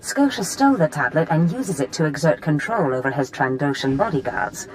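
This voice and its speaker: A woman's voice: sits low, animated, fairly quick, a little mellow, a little hiss or hum, poor audio. A woman playing a haughty, scheming Sith master in a video game, theatrical and calculating. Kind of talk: video game dramatic acting.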